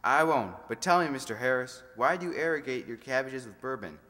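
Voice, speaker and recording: A man's voice: mid-range voice, lively intonation, brisk, pushed and bright. A man playing a polite, hesitant, deferential gardener in an old-time radio comedy. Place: amateur stage reading in a hall. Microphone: stand microphone. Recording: echoey stage in a large hall.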